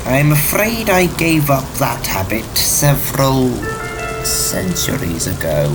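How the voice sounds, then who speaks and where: Man acting a slow, grave, wry time traveller. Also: medium pitch, wildly swooping pitch, over music, poor audio. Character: ancient, wry, worried male time-travelling alien. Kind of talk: audio drama acting.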